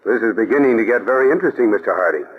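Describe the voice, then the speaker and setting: An actor playing an eager male assistant investigator who reacts quickly, in 1940s radio acting. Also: lowish voice, expressive. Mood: eager, keen. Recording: tinny phone-like old radio recording.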